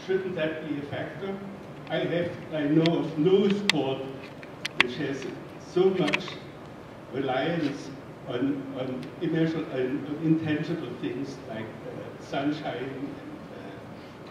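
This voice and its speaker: Man, German accent, slow hesitant questioning, muffled distant echoey recording. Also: fairly high pitch, noisy background. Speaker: audience member. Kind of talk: public comment at meeting.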